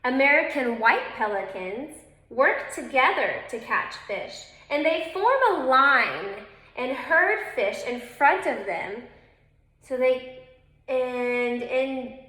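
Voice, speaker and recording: Slow, high-voiced woman reading to children. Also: pushed and bright. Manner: instructive, addresses young listeners directly. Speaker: elementary teacher reading aloud to children. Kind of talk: children's read-aloud with informal asides. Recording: roomy, echoing indoor sound.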